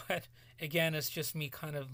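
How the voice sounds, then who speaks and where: A man talking solo in a rambling podcast commentary, halting and restarting often, his voice cracking as he loses it. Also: a little high, a bit bright, no echo. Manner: halting, many restarts and fillers. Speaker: podcast host.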